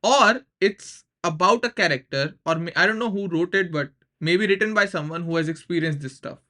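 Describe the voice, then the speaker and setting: High, bright-voiced young Indian man, casually opinionated. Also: normal speed. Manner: opinionated, informal, switching between English and Hindi. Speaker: commentator. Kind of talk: casual reaction commentary.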